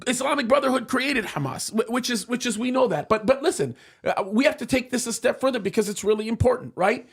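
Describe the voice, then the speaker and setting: High, gravelly emphatic man. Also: fast. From United States, of Egyptian parents. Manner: emphatic, with many restarts and repeated words. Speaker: political commentator in a studio talk show. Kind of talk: heated opinion talk. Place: studio.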